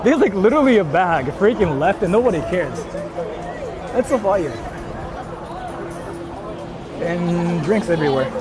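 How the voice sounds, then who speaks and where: High-voiced young Japanese man streaming outdoors. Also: lively intonation, normal speed. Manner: repeats himself, informal, swears freely. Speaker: live streamer. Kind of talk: casual live-stream chat, answering viewer comments. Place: outdoors on a busy city street at night. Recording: street noise, traffic and crowd.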